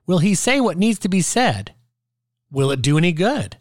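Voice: medium pitch, big pitch swings, moderate pace. An announcer reading a scripted teaser for an audio play.

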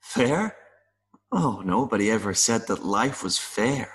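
A man acting as a gentle, philosophical mayfly in an audio play, speaking earnestly and tenderly with wistful wonder. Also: fairly low pitch, expressive. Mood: resigned, tender. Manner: slow, earnest, tender delivery with buzzing.

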